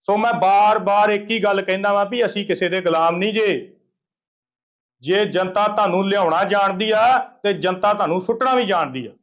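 A man's voice: high-pitched, fairly bright tone, dull audio. A man, a local political leader making an emphatic, repetitive, indignant complaint to the press. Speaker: local opposition party leader giving a media interview.

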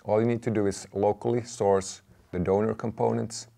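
A man in his thirties giving a measured, explanatory product presentation to camera. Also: fairly low pitch, little pitch movement, vocal fry, dark tone. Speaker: presenter, company representative. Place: indoor room with brick wall, seated at a table.

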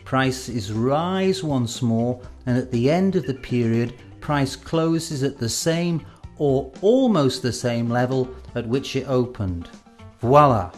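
A middle-aged man presenting scripted instructional narration in a measured way, with deliberate pauses for emphasis. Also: mid-range voice, lively intonation, darkish tone. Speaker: presenter of an educational film. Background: background music.

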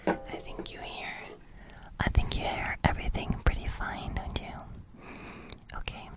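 A young adult woman whispering soothingly for an ASMR roleplay close to the microphone, with a breathy crackle that edges into soft speech. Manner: soothing, slow, reassuring. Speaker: ASMR host. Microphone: large studio microphone, very close.

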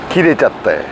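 Lively man chatting over boat noise. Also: on the high side. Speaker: fishing show host and angler. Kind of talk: casual on-location fishing commentary. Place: open boat at night, outdoors. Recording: noisy outdoor boat recording, wind. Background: boat and wind noise.

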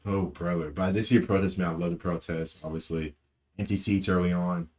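A young man with a low, deep voice talks through a football match in a loose, rambling way. He repeats himself and drops in plenty of fillers, speaking from a small room into a desk webcam. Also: fairly even intonation, a little fast, darkish tone. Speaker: football fan vlogger. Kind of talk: casual post-match reaction.

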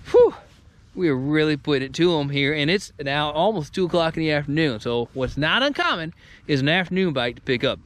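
Excited, lively fishing-vlog guy outdoors. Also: high-pitched. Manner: excited, folksy, exclaims at catches. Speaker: fishing video host. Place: on a bass boat on a lake outdoors. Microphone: action camera on the boat. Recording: outdoor wind and boat noise.

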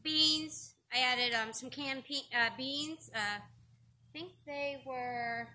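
A middle-aged woman with a high, sharply bright voice talks homely and chatty, stepping through how she cooks over an open fire. She fills pauses with ums as she explains. She is recorded outdoors on a yard by a camera a few meters off, with faint noise behind. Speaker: host demonstrating open-fire cooking. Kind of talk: casual outdoor cooking demonstration. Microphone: camera on a stand a few meters away.

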